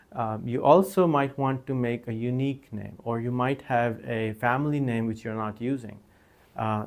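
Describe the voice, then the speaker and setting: A man whose first language is Urdu, teaching an informal recorded lecture in a conversational way, with many fillers and restarts. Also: medium pitch. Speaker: course instructor.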